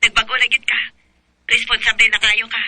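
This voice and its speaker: A man's voice: extremely high pitch, flat delivery, a little fast, background noise. A man with a Filipino accent acting an admiring, probing companion in a radio drama.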